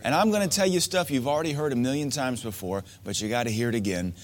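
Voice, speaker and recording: A man's voice: mid-range voice, fast talker. A man preaching a sermon to men, emphatic and repetitive, asking rhetorical questions. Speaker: pastor.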